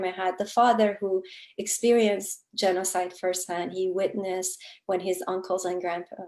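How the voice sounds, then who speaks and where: A woman's voice: medium pitch, a little mellow. Reflective Kurdish-born woman author. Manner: reflective, earnest, with frequent fillers. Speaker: author guest. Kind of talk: thoughtful interview answers.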